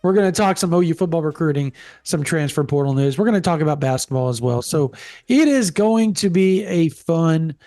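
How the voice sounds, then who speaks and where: Stuffed-up nasal man, higher voice. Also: fairly quick, dry recording, clean studio sound, faint music behind. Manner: casual, animated, drawling interjections. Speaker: podcast co-host. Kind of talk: casual sports podcast chat.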